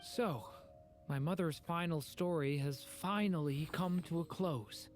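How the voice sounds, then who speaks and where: An actor playing a gentle, earnest young male dragon in human form in a video game, polite and soft-hearted. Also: mid-range voice, a little slow, lightly acted. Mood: wistful. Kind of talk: video game character acting. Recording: game music behind. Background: game music.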